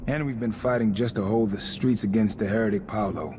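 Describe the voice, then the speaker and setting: Casting: male actor for ambitious religious overseer, game cutscenes. Low, measured, stern, quietly threatening; noisy backdrop. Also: steady tone, natural voice. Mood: guarded, assertive. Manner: measured, self-important, politely menacing. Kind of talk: dramatic video game dialogue.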